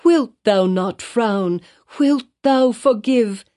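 A desperate young woman pleads in old-fashioned formal speech, her voice lifted high and strained, as a woman narrator puts her on. It sounds like a storybook reading with a mellow tone underneath. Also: lively intonation, slow pace.

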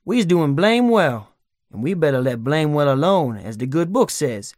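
A man plays a cautious, grumbling grown man in a storybook reading. He drags his words into a slow, doubtful drawl and speaks a bit raised. The recording is dry. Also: on the high side, big pitch swings, darkish tone, no echo.

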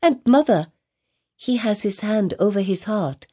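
A woman voicing a lively, curious little girl who speaks in playful exclamations and insistent questions in an old-fashioned storybook. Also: mid-range voice, measured pace, husky, voice pushed up. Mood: eager, inquisitive. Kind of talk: dramatized audiobook reading in archaic English.

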